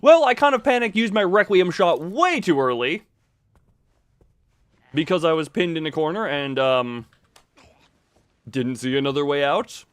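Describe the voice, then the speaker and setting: A man's voice: a little high, lively intonation, unhurried, pauses often, clear, resonant tone, dry recording. A man doing casual live video game commentary, rambling playfully to his viewers and repeating himself as he exclaims.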